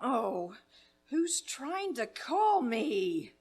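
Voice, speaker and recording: A woman plays a fretful invalid wife in an old 1930s radio drama. Her high voice swings widely and takes its time, demanding and self-pitying, with melodramatic nerves throughout. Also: sing-song, drawn-out words, darkish tone, a little hiss or hum, full character voice. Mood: agitated, impatient. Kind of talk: 1930s radio drama acting, performed live.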